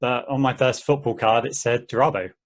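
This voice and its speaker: A man's voice: mid-range voice, steady tone, fairly quick, close to an everyday voice. Man playing an easygoing, chatty husband. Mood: amiable. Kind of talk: amateur online table read of a play.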